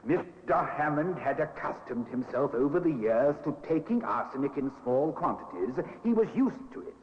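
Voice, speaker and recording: An actor as a courteous, hesitant physician in a fifties radio play. His pitch moves freely and expressively. The recording is dull and somewhat noisy. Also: expressive, normal speed, close to an everyday voice. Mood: hedging. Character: genial, hesitant Victorian physician giving opinions. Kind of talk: 1950s radio acting.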